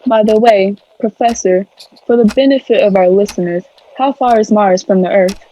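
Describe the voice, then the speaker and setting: Mellow, formal radio newswoman played by an actress. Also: medium pitch, highs cut off, close to an everyday voice. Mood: composed. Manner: formal, measured, descriptive. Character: composed, formal female radio news commentator. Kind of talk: 1930s radio drama news interview.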